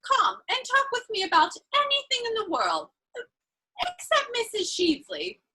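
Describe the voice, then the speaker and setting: Woman playing a very high, bright young lady. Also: huge pitch swings, a little slow, exaggerated voice, poor audio. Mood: spirited, playful. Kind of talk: dramatic reading of a period stage comedy.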